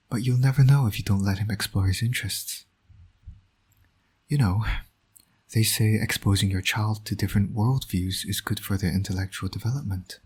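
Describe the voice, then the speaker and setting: A man acts out a roguish male supervillain who is also a doting dad. The voice is very husky and breathy, sitting a little low. He speaks in a wry, flirtatious, teasing way, as in an intimate audio drama. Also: a little mellow. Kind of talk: intimate scripted audio roleplay.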